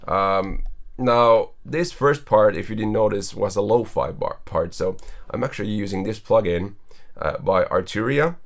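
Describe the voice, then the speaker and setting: Low-voiced man walking through a mix. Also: animated, a little slow. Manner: casual, rambling, with filler words and laughs. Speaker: singer and mixing engineer. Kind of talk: walkthrough of a vocal mix.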